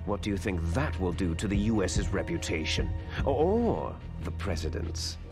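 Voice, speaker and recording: An actor playing an arrogant, cunning terrorist boss in a video game cutscene, commanding, theatrical and contemptuous in manner. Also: male voice, sits low, very animated, moderate pace, music in the background. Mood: cold, commanding.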